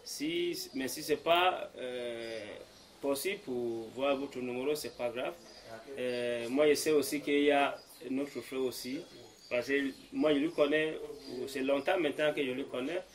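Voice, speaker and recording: Cameroonian man, English-native host, hesitant, mid-pitched, full of fillers. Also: measured pace. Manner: hesitant, many fillers, mixes French and English. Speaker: host of the gathering. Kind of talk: halting welcome speech. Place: room in a private home.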